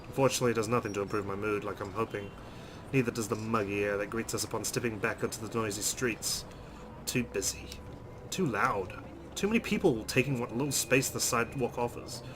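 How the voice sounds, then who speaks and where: A man's voice: fairly low pitch, fairly quick, background noise. A man narrating a visual novel aloud as a let's player, reading it dramatically with brief asides.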